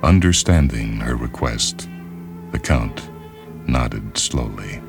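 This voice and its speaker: An extremely deep-voiced man reads literary fiction as a radio narrator. His delivery is measured and solemn, with orchestral music clearly playing behind him. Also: animated.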